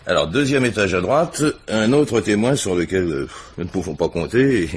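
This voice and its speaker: A man's voice: sits low, expressive, normal speed, husky. An actor playing a diligent, chatty police inspector in a French radio crime drama, reporting to his superior with informal hesitations and wry asides.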